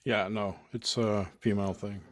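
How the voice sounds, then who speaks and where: A man with a fairly low voice co-hosting a casual podcast. He teases the others with short, dry wisecracks. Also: flat delivery, normal speed. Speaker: podcast co-host. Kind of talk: casual chat.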